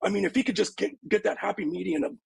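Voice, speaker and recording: Husky, gravelly male analyst, laid back, dry close sound. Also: high-pitched, racing, no echo. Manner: laid-back, filler-heavy. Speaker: golf betting analyst. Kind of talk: casual sports betting chat.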